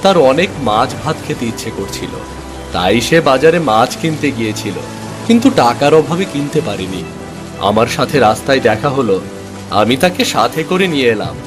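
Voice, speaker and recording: A man's voice: medium pitch, big pitch swings, a little fast, music in the background, natural voice. A man voicing a good-hearted, eager poor village husband, earnest and folksy, in a cartoon folk tale. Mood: earnest, pleased.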